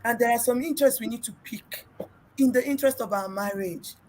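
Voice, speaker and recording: A middle-aged woman with a Nigerian accent and a big, loud voice, hosting a casual relationship talk and telling stories emphatically. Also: fairly high pitch, fairly quick, slight background noise, poor audio. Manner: emphatic, storytelling, mixes in pidgin. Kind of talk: casual group discussion.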